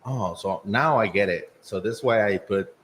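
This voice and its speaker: A man from Mexico City speaks in a very deep, low voice with a Mexican accent. He talks haltingly, full of "uh" and restarts, as a restaurant owner chatting casually about his place. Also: a bit bright, a little hiss or hum. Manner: halting, many fillers and restarts. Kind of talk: casual interview chat. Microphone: shared handheld mic.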